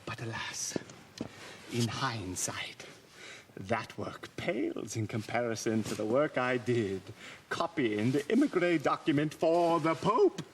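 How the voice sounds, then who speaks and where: An actor voicing a pompous, theatrical, centuries-old eccentric warlock in a video game. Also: male voice, mid-range voice, animated, slow pace, a little hiss or hum. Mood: wistful. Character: eccentric, boastful six-hundred-year-old undead warlock scholar.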